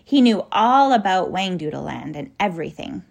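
A woman's voice: on the low side, very animated, moderate pace, gravelly, a little mellow, exaggerated voice. A woman voicing an innocent, hesitant young girl in a children's storybook, artless and questioning in a small voice. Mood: artless, chatty.

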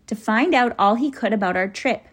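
A woman voicing a kindly, grave male professor in a children's storybook, speaking in an earnest, measured, instructing way. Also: on the high side, animated, pitched above the performer's own voice. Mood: explaining, concerned.

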